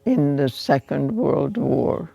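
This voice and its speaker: A woman, giving slow, measured spoken testimony with long pauses. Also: deep voice, expressive, creaky, mellow. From Hungary. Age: 90s. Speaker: Holocaust survivor giving testimony. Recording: dull lo-fi sound with faint music underneath.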